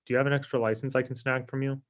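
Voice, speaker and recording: A man's voice: medium pitch, level tone, very fast, lightly acted. An actor playing a laid-back, privileged young man who talks casually and self-absorbedly in a comedy table read. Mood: casual, oblivious.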